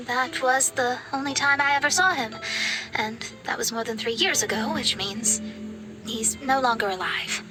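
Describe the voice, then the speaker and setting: A woman's voice: on the high side, measured pace, pauses often, close to an everyday voice. A woman voicing a proud, earnest young astrologer in an anime game, speaking in a formal, articulate way over music. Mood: solemn. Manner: formal, articulate, self-serious. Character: proud, earnest young female astrologer, prickly but soft-hearted. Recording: background music behind the voice.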